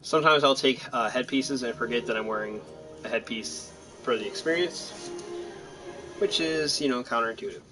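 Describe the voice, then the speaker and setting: A man's voice: mid-range voice, moderate pace, music in the background, poor audio. A man giving casual, rambling, self-deprecating commentary while playing a video game. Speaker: gaming streamer. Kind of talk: casual let's-play commentary.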